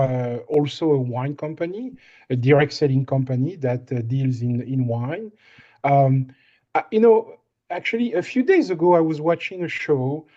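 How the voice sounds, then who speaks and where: French-accented guy on a video call, kinda high voice, lots of ums and restarts, dull muffled sound. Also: a little mellow. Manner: hesitant, many fillers and restarts. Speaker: winemaker. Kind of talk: casual presentation on a video call.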